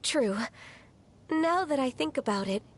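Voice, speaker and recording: A woman playing a gentle, reserved young woman in a fantasy video game, speaking politely and in a measured way. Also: high-pitched, expressive, slight background noise. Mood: thoughtful. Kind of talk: video game character acting.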